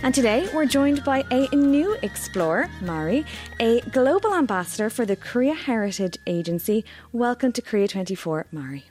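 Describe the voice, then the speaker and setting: A woman's voice: fairly high pitch, sing-song, normal speed, soft background music. A woman hosting a radio segment, chatting with a guest and asking friendly questions. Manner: warm, chatty interviewer with small restarts. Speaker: radio host. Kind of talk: radio interview.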